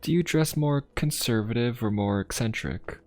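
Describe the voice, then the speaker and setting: A man playing a courteous, inquisitive young pope of a steampunk fantasy world in an ASMR roleplay, speaking politely, formally and in a gently musing way. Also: mid-range voice, normal speed, natural voice. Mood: musing, friendly. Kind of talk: ASMR roleplay addressed to the listener. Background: music.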